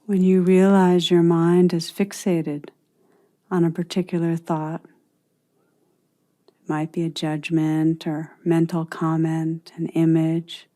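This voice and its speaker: A woman with a slightly low, soft-edged and mellow voice leads a guided meditation. She speaks very slowly and soothingly, as a teacher would, leaving long pauses between phrases. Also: flat delivery.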